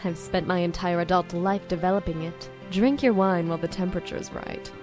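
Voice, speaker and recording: A woman plays a calculating female scientist from an anime drama. Her voice sits fairly low, and she speaks with theatrical composure and a mocking, coaxing self-assurance. Music plays faintly underneath. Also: level tone, brisk, close to an everyday voice. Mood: proud. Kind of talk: dramatic anime dub acting.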